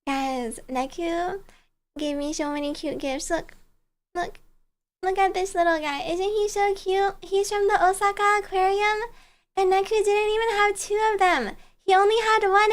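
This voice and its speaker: A woman's voice: very high voice, fairly even intonation, moderate pace. A woman livestreamer chatting casually and greeting viewers in a bubbly, giggly, cutesy and playful manner. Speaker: livestreamer, virtual bear-girl host.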